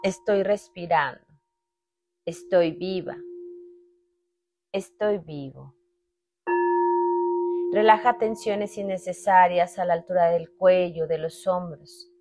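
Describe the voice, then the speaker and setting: A woman leading a guided meditation in a calm, unhurried way, speaking in short phrases with long pauses. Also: fairly low pitch. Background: meditation music with sustained tones.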